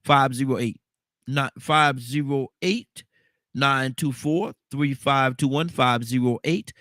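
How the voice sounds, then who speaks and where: A man's voice: medium pitch, steady tone, takes it slowly, a bit bright, dry recording, clean studio sound. A man hosting a morning call-in radio show with a stuffed-up nose, talking in a rambling way and repeating the phone number over and over.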